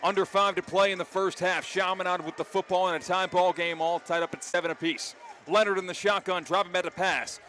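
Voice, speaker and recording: An American man calling live football play-by-play, descriptive and animated, from an outdoor stadium. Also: high-pitched, brisk, few pauses. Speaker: play-by-play announcer. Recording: live stadium broadcast with loud background noise. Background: loud stadium noise.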